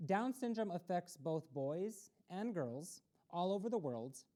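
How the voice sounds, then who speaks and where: A woman's voice: on the low side, lively intonation, unhurried, warm tone. A woman hosting a children's story time, reading aloud and explaining things simply, posing questions to young listeners.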